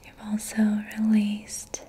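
A young woman whispering breathily in a soothing, gentle ASMR style as she guides an energy healing session. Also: medium pitch, completely flat delivery, slow pace, slight background noise. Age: young adult. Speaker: ASMR reiki practitioner. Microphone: close mic.

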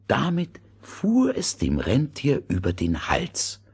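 A man's voice: fairly low pitch, wildly swooping pitch, slow, vocal fry, breathy edge. A man narrating a scripted fairy tale as the storyteller of an audio play.

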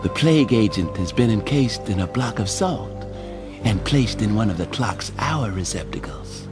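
A man's voice: fairly low pitch, lively intonation, moderate pace, close to an everyday voice. A man playing an obedient henchman in a comic audio drama, formal and deferential. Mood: dutiful. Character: obedient male henchman reporting to his master. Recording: music under the voice.

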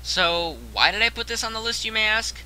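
A man's voice: sits high, brisk, sharp tone, smooth, poor audio. A young man narrating a scripted top-ten countdown as host, in a conversational way that addresses the viewer directly.

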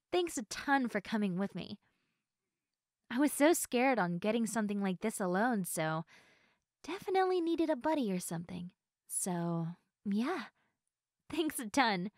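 Playful high-voiced young woman acted by a woman. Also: no echo, clean studio sound, full character voice.

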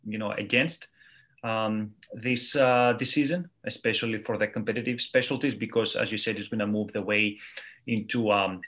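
A man's voice: medium pitch, fairly bright tone. A man in his thirties from Greece, a surgical resident, explaining things earnestly with many ums and you-knows over a video call. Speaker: neurosurgery resident guest. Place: small room at home, indoors. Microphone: video call webcam mic.

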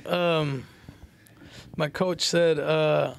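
Higher-pitched man with creaky voice. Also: steady tone, extremely slow. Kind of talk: casual banter.

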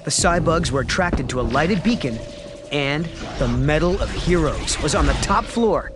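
A man narrating a children's story from a script, with expressive storytelling emphasis. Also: a little high, normal speed, over music.

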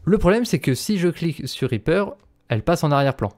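A man's voice: a little high, very animated, brisk, darkish tone. A man presenting an instructional screencast as a voice-over. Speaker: tutorial presenter.

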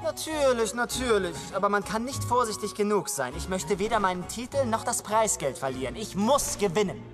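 A man's voice: high-pitched, big pitch swings, a little fast, few pauses. A man voicing a vain, pompous young prince in a dubbed cartoon, talking in a self-admiring way over score music. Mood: smug. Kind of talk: cartoon dubbing.

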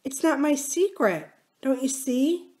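Woman acting soft, pleading, manipulative young woman. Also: high voice, animated, takes it slowly, pitched above the performer's own voice. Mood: fearful pleading. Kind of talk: audiobook character dialogue.

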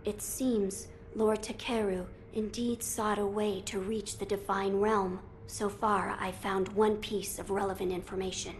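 A woman's voice: medium pitch, flat delivery, a little slow, few pauses, lightly acted. Lady doing a little noble boy in a game, solemn n polite, proper speech, faint ambient noise. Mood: earnest, gracious. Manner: formal, earnest, polite. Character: solemn young noble boy, earnest and dignified. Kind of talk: video game voice acting.

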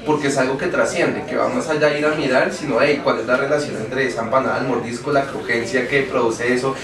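A young man, a gastronomy lecturer calmly explaining ideas in an interview in a small office. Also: mid-range voice, fast talker, reverberant, distant mic, crowd noise. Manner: explanatory, academic, casual slang. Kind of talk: interview answers.

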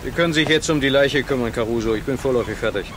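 A police doctor played by a man speaks factually in a radio play. The voice is mid-pitched and unhurried, with clear waterside noise in the background. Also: close to an everyday voice. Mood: matter-of-fact. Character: matter-of-fact male police doctor. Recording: noisy waterside sound effects behind.

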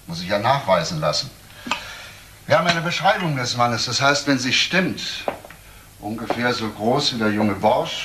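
Radio crime play: male actor as patient detective, deliberate, factual, room echo, faint noise. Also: mid-range voice, natural voice. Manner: matter-of-fact, deliberate, probing. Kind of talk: German radio crime drama acting.

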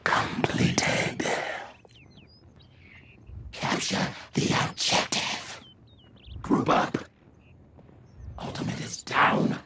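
Raspy, sadistic game killer. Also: male voice, noisy background. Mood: curt, menacing. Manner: theatrical menace, slow gloating delivery. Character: sadistic, maniacal male killer who relishes violence. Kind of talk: video game character voice lines.

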